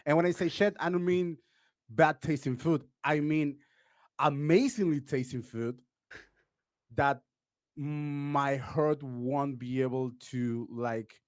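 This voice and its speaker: A man's voice: a little high, takes it slowly, dry recording, studio quality. A man from Uruguay, a podcast guest chatting casually, rambling with plenty of "like" and "uh". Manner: rambling, heavy on "like" and "uh" fillers.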